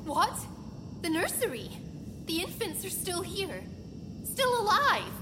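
A woman playing a distressed but determined scientist alone on a derelict spaceship in an audio drama, speaking in halting, breathless bursts. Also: very high voice, big pitch swings, in a large hall, background noise, exaggerated voice. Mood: urgent, determined. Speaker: voice actor in lead role.

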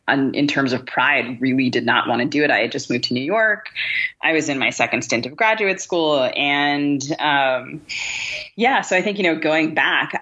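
A woman with a strikingly low voice talks candidly and reflectively. She hesitates and hedges as she works out her thoughts. The audio is a little dull and lo-fi. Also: bright, forceful tone. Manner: hesitant, self-reflective, hedging. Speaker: writer, podcast host.

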